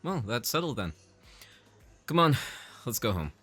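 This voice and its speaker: A man playing an affectionate, easygoing father and husband, chipper and gently teasing, in an audio drama. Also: mid-range voice, big pitch swings, unhurried, over loud music. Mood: warm, playful.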